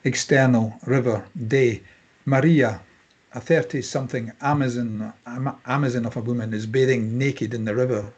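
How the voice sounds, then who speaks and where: Man, husky mid-pitched voice, scripted narration, clipped screenplay phrasing. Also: fairly even intonation, normal speed, a little mellow, muffled recording. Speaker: stage directions reader.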